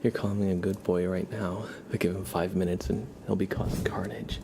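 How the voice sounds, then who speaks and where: A man hosting an ASMR livestream, chatting casually in a soft, whispery murmur and gently repeating his phrases. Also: low voice, fairly even intonation, brisk, vocal fry, background noise.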